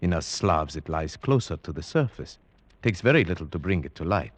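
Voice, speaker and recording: A man playing a cultured, brooding huntsman who narrates gravely and formally in an old radio drama. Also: sits low, lively intonation, brisk. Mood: thoughtful, courteous. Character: cultured Slavic huntsman, brooding, at home in forests. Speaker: narrator and character. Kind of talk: 1940s-50s radio drama acting.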